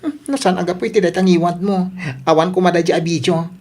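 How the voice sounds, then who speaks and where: A woman's voice: fairly low pitch, fast, a little mellow, lightly acted. A woman acting an anxious, aggrieved character who complains fretfully in an Ilocano radio comedy drama. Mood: reproachful. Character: anxious, aggrieved woman worried about her reputation.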